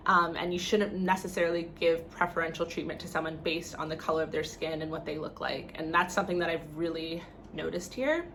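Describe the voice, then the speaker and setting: A woman's voice: sits low, steady tone, fairly quick, hardly any pauses, a bit bright, a little hiss or hum. A young woman with a Canadian accent talking casually to camera in a conversational, opinionated way, often saying "like" and "you know". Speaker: vlogger.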